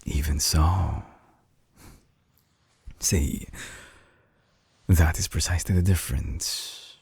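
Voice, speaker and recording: A man playing an obsessive, calculating mad-scientist villain in an intimate audio drama, coaxing in a husky half-whisper with slow, silky menace. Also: fairly even intonation, a little mellow, no room sound, full character voice. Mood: possessive, coaxing. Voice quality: very deep, gravelly, husky, breathy half-whisper. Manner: slow, deliberate, silky menace with lingering pauses. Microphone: close binaural microphone, very dry.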